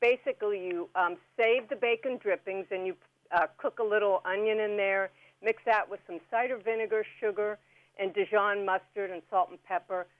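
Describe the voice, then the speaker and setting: A woman's voice: medium pitch, steady tone, normal speed, dry recording. A woman guest on a radio interview, explaining food and plants in a chatty, instructive way. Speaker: guest food and herb expert. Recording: phone-like narrow band radio call.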